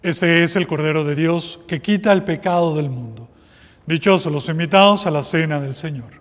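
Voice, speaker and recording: A middle-aged priest recites the prayers of Mass slowly and solemnly. His voice sits a bit high for a man. It rings out with the long reverb of a small chapel. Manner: slow, solemn liturgical recitation.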